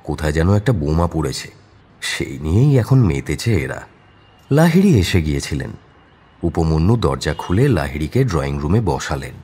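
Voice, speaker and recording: Deep-voiced man narrating a thriller. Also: very animated, normal speed, warm tone, husky. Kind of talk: scripted audio story narration, dramatic.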